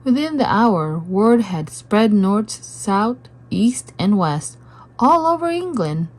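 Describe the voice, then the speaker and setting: Slow-paced woman reading a storybook. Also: medium pitch, very animated, darkish tone. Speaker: storybook reader. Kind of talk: scripted read-aloud narration for children.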